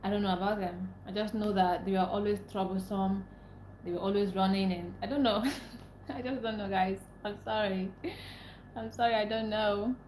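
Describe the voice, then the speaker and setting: A woman's voice: mid-range voice, steady tone, moderate pace, a little mellow, a little hiss or hum. A young Nigerian woman, a native Igbo speaker, hosting a casual livestream, chatting with viewers, repeating herself and laughing often. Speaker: livestream host. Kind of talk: casual livestream chat reading comments. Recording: echoey room, faint ambience.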